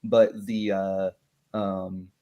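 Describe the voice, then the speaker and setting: A man's voice: low-pitched, level tone, drawn-out words, warm tone, muffled recording. A man speaking as a game master, reflecting casually and hesitantly after a tabletop session. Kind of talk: casual post-game discussion.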